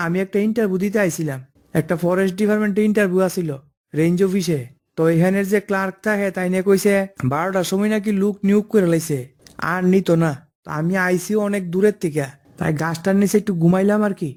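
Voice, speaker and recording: A man's voice: sits high, fairly even intonation, fast, darkish tone, close to an everyday voice. A man voicing an earnest, humble job candidate in a cartoon, polite, with an eastern Bengali dialect. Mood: explaining. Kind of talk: cartoon dialogue.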